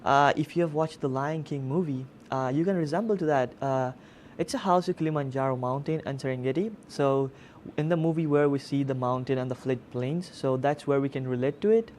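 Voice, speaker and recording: A man's voice: mid-range voice, brisk, darkish tone, a little hiss or hum. A man in his twenties from Tanzania, answering conversationally as a student guest in an interview. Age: 20s. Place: student television studio.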